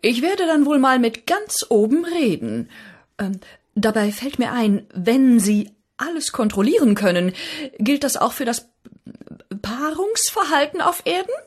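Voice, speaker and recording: A woman acting in a comedy radio play as the authoritative, curious boss of a heavenly agency, speaking deliberately. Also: fairly high pitch, very animated, husky, exaggerated voice. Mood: measured, suggestive.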